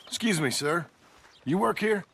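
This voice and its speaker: An actor playing a weary, blunt frontier rancher in a western video game, gravelly and laconic. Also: male voice, high-pitched, expressive, measured pace, a bit bright, full character voice. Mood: plain, wary. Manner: laconic, dry.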